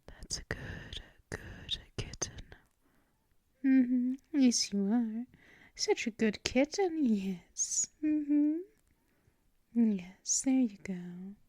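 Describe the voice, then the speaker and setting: A woman voicing a gentle, possessive female vampire mistress in an ASMR roleplay, speaking softly and often dropping into whispers and shushing. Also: fairly high pitch, animated, very slow, pauses often, dry recording. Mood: soothing. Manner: soft and soothing, drifting into whispers and shushing. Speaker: ASMR performer.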